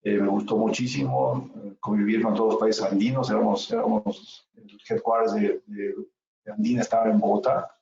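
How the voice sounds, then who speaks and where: Middle-aged Mexican panelist, lowish voice, halting, far from mic in echoey room, dull audio. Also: male voice, animated, creaky, mellow, slight background noise. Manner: halting, repeats words. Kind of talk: webinar panel introduction.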